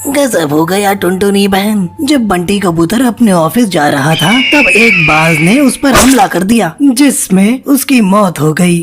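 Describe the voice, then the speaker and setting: A woman's voice: medium pitch, animated, unhurried, hardly any pauses, voice pushed down, close to an everyday voice. A woman voicing an alarmed, urgent male crow in a children's cartoon. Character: alarmed male crow bringing grave news. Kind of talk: Hindi children's cartoon voice acting. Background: loud music.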